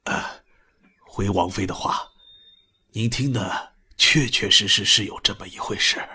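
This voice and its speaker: Husky, deferential palace steward, acted by a man. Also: on the low side, unhurried, sharp tone, full character voice. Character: deferential, hesitant household steward to royalty. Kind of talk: audio drama acting in Mandarin.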